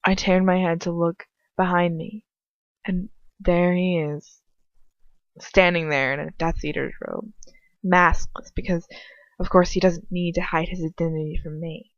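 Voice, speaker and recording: Amateur female story reader, lowish voice, informal and self-correcting, dry lo-fi recording. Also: steady tone, measured pace, dry recording. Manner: informal, stumbles and corrects herself. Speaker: amateur fan fiction reader. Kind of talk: audiobook-style story reading with casual asides.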